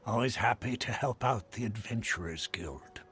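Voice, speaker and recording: A man's voice: lowish voice, moderate pace, music in the background, lightly acted. An actor playing an obliging, easygoing townsman in a video game. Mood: friendly. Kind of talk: video game character acting.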